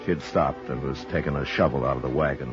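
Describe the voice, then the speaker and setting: An American actor playing a stoic, world-weary frontier marshal in a 1950s radio western, speaking in a measured, laconic way. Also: male voice, a bass voice, steady tone. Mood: somber narration. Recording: dull old radio recording, faint music.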